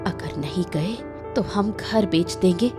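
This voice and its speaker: A woman's voice: on the low side, takes it slowly, music in the background. A woman voicing a stern, reproachful woman of the household in a cartoon moral story, scolding firmly.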